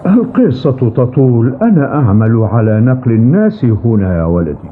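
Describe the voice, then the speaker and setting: A man's voice: mid-range voice, normal speed, slight background noise, natural voice. An actor playing an old, testy and rueful ferryman telling his story in a dubbed cartoon. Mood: reminiscing. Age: older. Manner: expressive, grumbling, storytelling. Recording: muffled tinny cartoon soundtrack with music.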